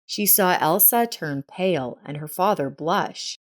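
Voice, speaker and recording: A woman narrates a scripted family story. Her voice sits a little low for a woman, and she reads as a storyteller would. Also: expressive, unhurried.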